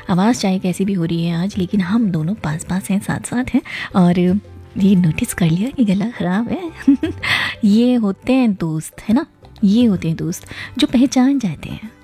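A woman with a hoarse, mellow voice hosts a live message show, chatting directly to her listeners and reading their notes aloud. She keeps checking in with a friendly "hai na" as she talks. Faint music plays underneath. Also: medium pitch. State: hoarse from a viral illness. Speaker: host of a message-based request show.

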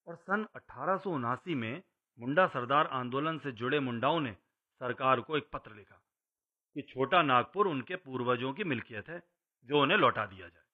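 An actor playing a patient parent who narrates history to a child in a scripted, explanatory storytelling style. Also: male voice, medium pitch, lively intonation, moderate pace, lightly acted. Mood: explaining. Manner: explanatory, emphatic. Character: patient elder man telling history to his child. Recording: slightly dull, lo-fi audio.

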